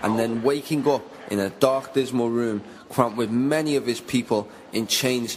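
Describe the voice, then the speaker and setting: A man playing a middle-aged, conflicted slave merchant in a radio drama, speaking in a measured, formal, earnest way. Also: medium pitch, noisy background, lightly acted. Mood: grave, reflective. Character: conflicted older slave merchant, male, firm yet humane. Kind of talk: radio drama dialogue and first-person narration. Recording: music bed under the voice.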